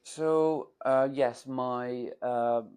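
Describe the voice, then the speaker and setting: A man's voice: medium pitch, fairly even intonation, very slow, darkish tone, clean tone. A man in his forties from Hungary, with a Hungarian accent, speaking English on a video call as a port wine educator, thoughtful with many fillers and restarts.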